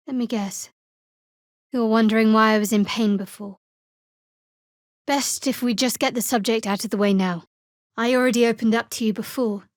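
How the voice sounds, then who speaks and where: A woman voicing a guarded, sardonic young woman cleric in a fantasy video game, with a measured, dry delivery. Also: a little high, no echo, natural voice. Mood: dry, reflective.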